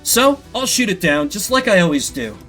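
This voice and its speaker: A cocky hotshot pilot snapping out orders, loud and pitched high, played by a male streamer. The voice swings with eager energy over loud game music. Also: very animated, moderate pace, full character voice. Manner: cocky, impatient commands. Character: brash young hotshot jet pilot.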